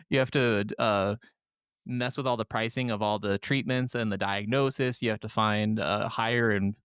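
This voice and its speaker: Clear-voiced man chatting as podcast co-host. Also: on the low side, fairly even intonation, moderate pace, fairly bright tone, dry recording, clean studio sound. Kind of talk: casual chat about video games.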